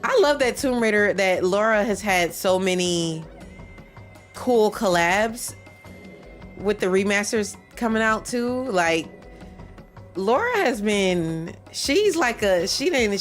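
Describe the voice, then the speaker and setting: A woman's voice: medium pitch, animated, measured pace. Woman streamer, stuffy nose, nasal, rambling casual chat, faint game music behind. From Michigan. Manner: rambling, repeats herself, chats with stream chat. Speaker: livestreamer playing a speedrun practice. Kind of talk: casual chat with viewers while gaming.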